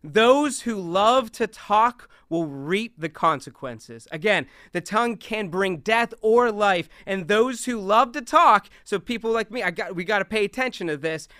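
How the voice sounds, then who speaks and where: Young man, big loud voice, animated stage talk, bright crisp tone, dry close sound. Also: sits high, measured pace, few pauses, dry recording. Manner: animated, talks with hands, moves around on stage. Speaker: youth speaker. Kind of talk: lively stage talk on scripture.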